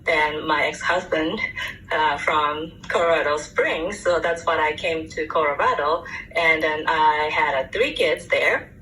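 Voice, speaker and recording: A low-pitched woman in her forties speaks English with a Japanese accent, pausing often to find her words. She sounds far from the mic in an echoey room. A faint hum runs underneath, like a remote call. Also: pushed and bright. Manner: halting, searching for words. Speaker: guest real estate agent. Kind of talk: interview answers. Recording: distant echoey remote line with faint noise.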